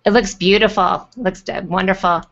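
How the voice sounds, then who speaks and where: A woman's voice: medium pitch, fairly even intonation, fairly quick, creaky, fairly bright tone. Woman hosting over muffled video call. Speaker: host. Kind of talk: online show interview hosting. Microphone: computer mic on a video call. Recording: dull, lo-fi video call audio.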